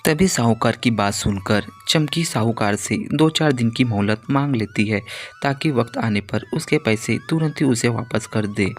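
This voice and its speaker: A man narrating a scripted children's moral story. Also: medium pitch.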